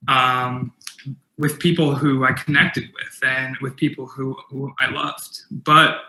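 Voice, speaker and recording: Young man, keynote speaker with a stutter, earnest, very bright tone, roomy echo. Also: medium pitch, level tone, slow. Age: young adult. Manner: earnest, with stutter repetitions and fillers. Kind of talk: motivational keynote talk.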